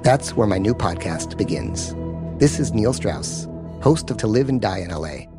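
A man's voice: fairly low pitch, sing-song, moderate pace. A man hosting, reading a scripted podcast promo. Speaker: podcast host. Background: music.

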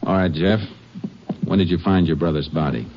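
An actor playing a calm, methodical Texas Ranger in a 1950s western radio drama, American, asking measured, matter-of-fact questions. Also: male voice, deep voice, natural voice. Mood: probing, calm. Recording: muffled old radio recording with noise.

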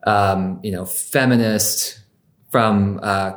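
A man hosting a podcast interview, speaking in a relaxed way and pausing with ums and restarts as he frames his questions. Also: lowish voice. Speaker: podcast host. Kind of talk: casual interview.

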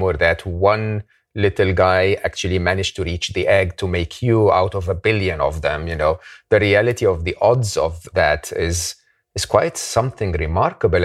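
A man with a very deep voice hosts an interview in a relaxed, chatty way. He jumps in with eager, emphatic remarks and casual asides. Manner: emphatic and enthusiastic, with informal asides. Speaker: podcast host.

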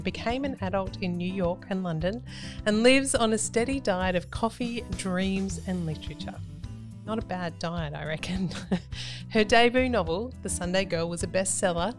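Woman hosting a podcast. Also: on the low side. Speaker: podcast host. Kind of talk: scripted intro then interview questions.

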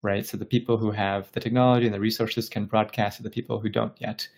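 A man's voice: on the low side, level tone, fast talker, vocal fry, darkish tone. A man from Bangladesh speaking as an interview guest, explaining things casually and thoughtfully, often searching for his words. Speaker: tech company founder interviewed as podcast guest.

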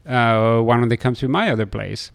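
A middle-aged man from France with a French accent, telling stories in an interview in a halting way, often hesitating and repeating words. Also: medium pitch, gravelly. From Lille, France. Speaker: restaurateur being interviewed. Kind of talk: conversational interview storytelling.

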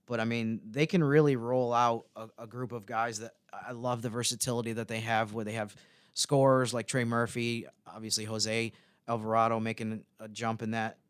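Clean, smooth-voiced man talking sports. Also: medium pitch, level tone, moderate pace, dry recording, clean studio sound. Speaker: sports podcast host. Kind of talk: casual sports analysis.

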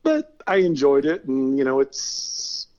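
Middle-aged man, from California, podcast guest, relaxed storyteller, muffled lo-fi sound. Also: mid-range voice, slow pace. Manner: laid-back, laughs between stories. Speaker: interview guest. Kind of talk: casual storytelling.

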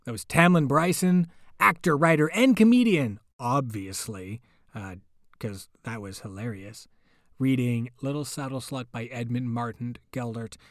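A man hosts a podcast and wraps up the episode, heaping joking praise on the reader and running through his plugs. His voice is mid-pitched and loose, and it is recorded dry, with no room sound around it. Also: a little slow, no room sound. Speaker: podcast host. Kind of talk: podcast outro with promotions.

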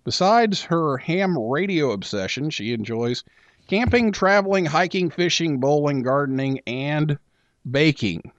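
A man hosting a radio talk show, chatting casually with a guest and pausing often on filler sounds. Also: a little high, animated, no echo, lo-fi sound. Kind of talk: casual interview chat.

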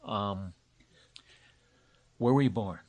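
A man's voice: on the low side, slow pace, darkish tone. A man hosting a podcast, asking casual questions and teasing as he interjects. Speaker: podcast host.

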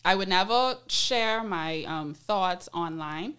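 A woman with a Bahamian accent hosting a podcast, chatting casually and telling stories candidly in slangy, animated phrasing. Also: on the low side, slow pace, smooth. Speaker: podcast host.

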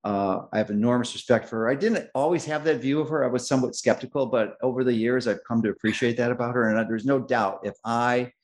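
A middle-aged man hosting a health interview, speaking emphatically and confidently, with restarts and slowed stressed phrases. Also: mid-range voice, a little mellow. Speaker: host. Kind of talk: interview host, long introduction.

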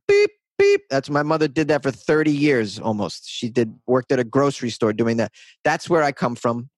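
A man's voice: fairly high pitch, dry recording. A man in his thirties from north of Boston, chatting as an interview guest in a rambling, self-deprecating way. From north of Boston, USA. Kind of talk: casual interview chat.

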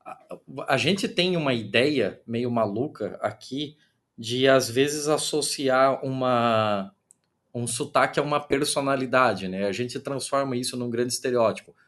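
Relaxed man asking questions. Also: medium pitch, measured pace. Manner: relaxed, asks questions, laughs along. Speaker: podcast host. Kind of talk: casual conversation.

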